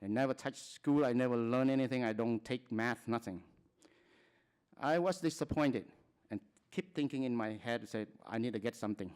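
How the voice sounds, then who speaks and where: Smooth-voiced man from Cambodia, halting. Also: mid-range voice, a little mellow. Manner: plain, halting talk with frequent restarts and short laughs. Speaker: conference speaker. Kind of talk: personal testimony at a conference.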